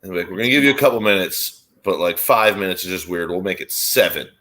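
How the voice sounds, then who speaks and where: A young man with a fairly low voice co-hosts a laid-back sports watchalong. He banters loosely, swearing freely and throwing in jokey bits. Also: quick pace, pushed and bright. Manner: sweary, joking, mock British slang. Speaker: podcast co-host.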